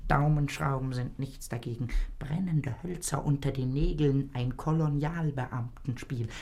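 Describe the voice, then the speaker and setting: A performer playing a scheming, mischievous henchman creature in a German radio drama, gloating in a creaky voice. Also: mid-range voice, measured pace, a little mellow. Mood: gloating, conspiratorial. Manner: gloating, relishing.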